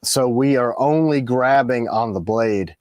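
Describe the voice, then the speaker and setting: A man with a raspy voice, tired in the morning, co-hosting a casual product livestream and explaining the details of the items he shows. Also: medium pitch, a little slow, darkish tone, no room sound. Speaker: co-host of a knife company livestream. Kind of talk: casual live chat about products.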